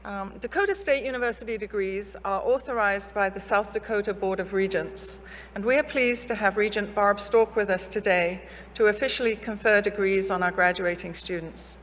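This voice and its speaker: A woman's voice: medium pitch, fairly even intonation, normal speed, a bit bright. A woman presenting graduates at a university commencement in a formal, scripted way in a large ceremony hall. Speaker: university official presiding over commencement. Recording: long hall reverb, faint background noise.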